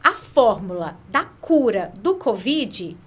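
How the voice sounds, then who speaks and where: Higher-pitched Brazilian woman, emphatic guest. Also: big pitch swings, slow, a loud crowd. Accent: Brazilian Portuguese. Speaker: podcast guest, business owner. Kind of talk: conversational interview. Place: small podcast studio with curtains, indoors. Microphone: close studio mic on boom arm.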